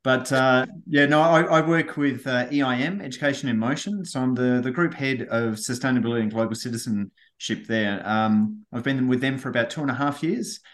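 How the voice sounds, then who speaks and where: A man's voice: medium pitch, brisk, few pauses, fairly bright tone. Chatty Australian man. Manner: chatty, repeats words as he starts. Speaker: guest, head of sustainability. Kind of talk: podcast interview.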